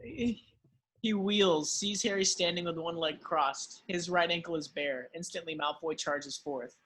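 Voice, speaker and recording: A man's voice: on the high side, measured pace, fairly bright tone, poor audio. A man reading screenplay stage directions as dramatic scripted narration.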